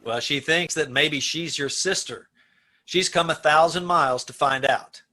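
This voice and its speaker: A man acts out an earnest, decent prison warden in an old-time radio play. His voice sits slightly high for a man and is bright and forceful. He speaks with deliberate emphasis, as if appealing to a condemned prisoner. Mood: solemn, resigned. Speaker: amateur radio-troupe actor.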